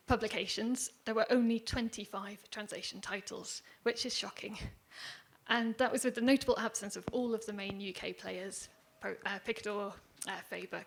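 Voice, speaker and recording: A woman presenting at a panel with slides, speaking in a measured, self-deprecating way. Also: mid-range voice. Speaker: poetry organisation manager presenting. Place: conference hall.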